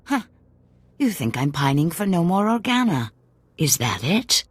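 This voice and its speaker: An actress playing a proud middle-aged noblewoman assassin in a sci-fi video game, husky, sardonic and world-weary. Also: fairly low pitch, very animated, unhurried, no echo, exaggerated voice. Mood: bitter, weary.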